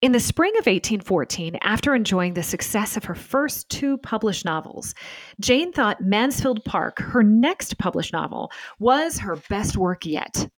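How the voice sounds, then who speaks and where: A woman's voice: medium pitch, animated, unhurried, no room sound. Woman narrating a scripted history documentary, dry studio sound. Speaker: podcast host and narrator.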